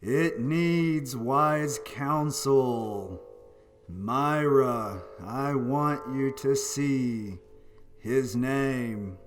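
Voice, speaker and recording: An actor playing the ghost of a dead husband in a 1940s-style radio play, speaking in a slow, hollow, intoned way. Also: male voice, medium pitch. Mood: eerie solemn. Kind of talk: 1940s radio drama acting. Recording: music playing behind.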